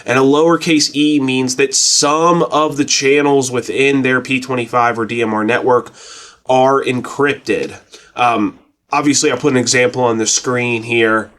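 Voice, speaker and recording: A man's voice: mid-range voice, steady tone, a little slow, fairly bright tone. A man giving a casual tutorial in a plainspoken, folksy, colloquial way as a presenter. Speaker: presenter of a radio tutorial channel. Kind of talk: casual explanatory tutorial talk.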